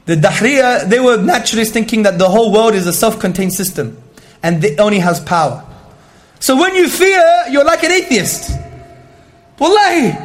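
A man with a high-pitched voice delivers an Islamic lecture to listeners. His delivery is emphatic and rhetorical, and he drops Arabic devotional phrases into his talk. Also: fairly bright tone. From Greek heritage. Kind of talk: religious talk to an audience, with questions.

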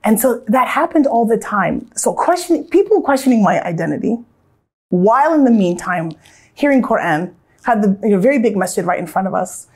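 A woman's voice: mid-range voice, big pitch swings, fairly quick, darkish tone, breathy edge. An American woman from Southern California, in her thirties, reflecting earnestly on her life in a casual, storytelling way, with frequent restarts.

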